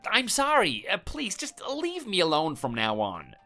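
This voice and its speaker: A man's voice: high voice, wildly swooping pitch, unhurried, pushed and bright, soft background music, voice pushed up, full character voice. A man voicing a cowardly male neighbor who stammers and pleads, apologizing over and over. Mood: grovelling.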